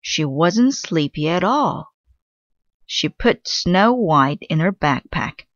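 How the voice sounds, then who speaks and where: Female children's storyteller: lowish pitch, unhurried, expressive narration, dull-sounding close recording. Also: no room sound. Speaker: read-aloud storyteller.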